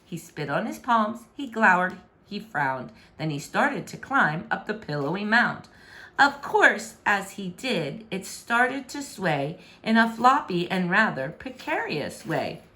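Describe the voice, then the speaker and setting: A woman reading rhyming children's fiction aloud in an expressive, theatrical, emphatic way. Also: mid-range voice, slow. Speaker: storybook reader. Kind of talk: animated, rhyming children's story narration.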